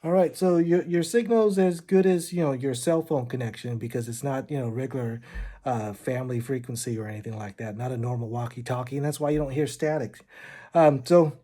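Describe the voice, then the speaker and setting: A man's voice: mid-range voice, a little fast, mellow. A man giving a casual gear review in an informal, explanatory way, as a vlogging product reviewer.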